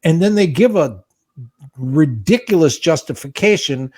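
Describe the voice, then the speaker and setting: Older male podcast co-host in his sixties, pitched a bit high, with a lively, swooping delivery and an indignant, exasperated edge. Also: a little slow, slightly rough. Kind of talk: casual podcast chat.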